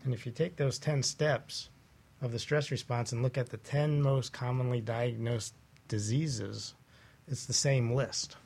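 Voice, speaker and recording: A man speaking as a chiropractor guest on a talk show, explaining in a measured, halting conversational way. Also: mid-range voice, fairly even intonation, gravelly, a little mellow.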